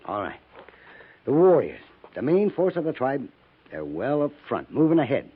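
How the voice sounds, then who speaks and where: An actor playing a plain-spoken, seasoned frontier scout in a 1950s radio western, with folksy, deliberate phrasing. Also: male voice, medium pitch, big pitch swings, slightly rough, phone-quality sound. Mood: measured, assured. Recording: band-limited vintage 1950s radio broadcast.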